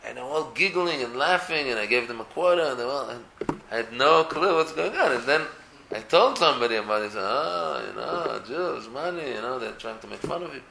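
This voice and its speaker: Israeli guy talking torah casually, gravelly bright voice, swings up and down a lot, echoey room. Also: medium pitch, brisk, slight background noise. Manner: animated, many restarts, rising to emphatic peaks. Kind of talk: casual religious talk.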